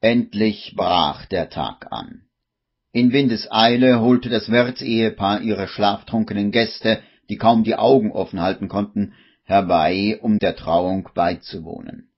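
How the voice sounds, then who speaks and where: Husky guy narrating an old radio play, slow and low, muffled sound. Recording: dull old 1950s radio recording.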